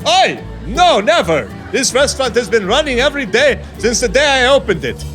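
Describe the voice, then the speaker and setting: A man's voice: extremely high pitch, big pitch swings, moderate pace, fairly bright tone, soft background music, full character voice. A man playing a proud, emotional, grieving diner owner in a radio drama. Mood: proud, indignant.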